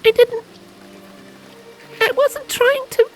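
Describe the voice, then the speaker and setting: Guy doing a scared little pony girl, super high squeaky pitch, slow trembly talk, music behind. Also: fairly even intonation. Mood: tearful, apologetic. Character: timid frightened little filly pony girl, apologetic.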